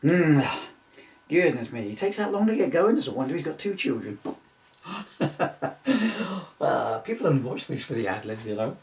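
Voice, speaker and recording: A man's voice: medium pitch, very animated, moderate pace, vocal fry, long pauses, a little mellow. A man reading from his own book in an informal way, with jokey, self-mocking, rambling asides. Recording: echoey room, distant mic.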